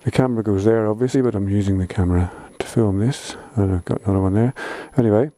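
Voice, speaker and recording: A man's voice: fairly low pitch, fast, dark tone, no echo. A man giving a casual how-to explanation for a vlog in an informal, plain-spoken way. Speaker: vlogger.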